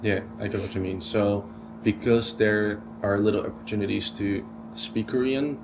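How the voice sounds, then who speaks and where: Low-voiced casual interviewer, man. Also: normal speed, noisy background. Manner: relaxed, rephrases answers back. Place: school office.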